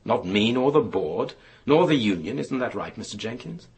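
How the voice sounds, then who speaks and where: An actor playing a formal, probing and regretful inquiry chairman in a radio drama, speaking deliberately in official phrasing. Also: on the low side, lively intonation, darkish tone. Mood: regretful, grave.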